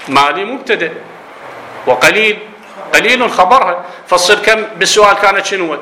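A man's voice: high-pitched, a little fast, pushed and bright, a little hiss or hum. Iraqi man teaching in an echoey room. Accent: Iraqi Arabic. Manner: explanatory, asks students questions, slips into dialect. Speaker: grammar teacher. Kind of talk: classroom lesson on Arabic grammar.

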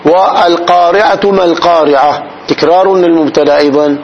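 A man teaches a classical grammar lesson in a slightly raised voice. He breaks each point down slowly and keeps asking his students whether it is clear. The recording sounds dull, with a faint hiss behind him. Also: a little high, fairly even intonation. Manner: explains slowly, often checks the class's understanding.

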